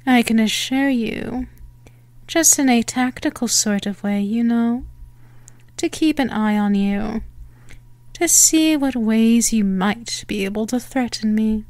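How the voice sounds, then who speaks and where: A woman voicing a possessive, menacing female vampire in an ASMR roleplay, teasing and theatrical in her deliberate phrasing. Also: fairly high pitch, darkish tone, no echo.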